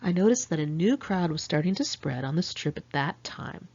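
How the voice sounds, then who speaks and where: A woman's voice: lowish voice, expressive, moderate pace, a little mellow, husky, lo-fi sound. A middle-aged woman narrates her own memoir in the first person, candid and wry with sardonic asides. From California, United States. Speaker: author reading own memoir. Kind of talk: first-person audiobook narration, diary storytelling.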